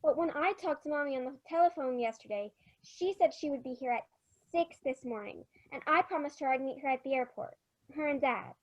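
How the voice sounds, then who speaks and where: A woman's voice: high-pitched, fairly quick, dull audio, lightly acted. A female performer playing an eager, anxious little girl, plaintive and insistent, in an old-time radio drama. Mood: pleading, insistent.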